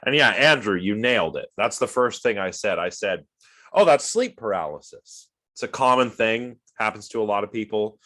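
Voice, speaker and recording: A man's voice: lowish voice, animated, normal speed, sharp tone. Sniffly man lecturing over a video call. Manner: rambling, with many fillers and restarts. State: sniffly from allergies. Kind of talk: casual online lecture, answering chat.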